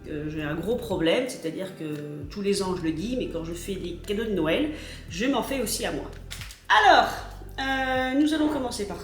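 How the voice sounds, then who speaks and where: Chatty woman in her thirties, rather high voice, a bit stuffy with a cold, lots of fillers, recorded in an echoey kitchen. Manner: chatty, rambling, many fillers. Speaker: vlogger. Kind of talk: casual unboxing chat. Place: home kitchen.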